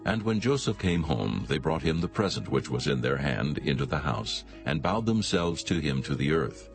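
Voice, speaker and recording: A man's voice: very deep voice, very animated, normal speed. A man giving solemn scripted narration of scripture. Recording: music behind the voice.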